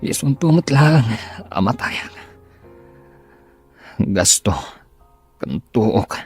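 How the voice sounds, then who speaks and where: A sickly, short-tempered rich boss in a radio drama. He grumbles bitterly and despairingly at a middling pitch, with music playing underneath. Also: animated, very slow, darkish tone, breathy edge, full character voice.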